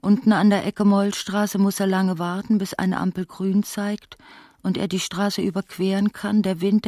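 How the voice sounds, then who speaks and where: Actress as a dark-toned, evenly spoken female narrator. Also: mid-range voice, no room sound, studio quality, natural voice. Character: sober literary female narrator of a revolutionary drama. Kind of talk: literary radio play narration.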